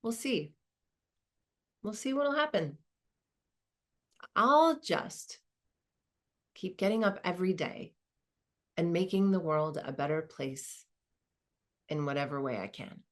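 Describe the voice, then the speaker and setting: A woman playing a reflective, earnest professor in her sixties, in a halting, conversational stage monologue. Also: on the low side, animated. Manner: halting, thoughtful, colloquial. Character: reflective, earnest 64-year-old woman, professor nearing retirement. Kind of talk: dramatized first-person monologue, conversational.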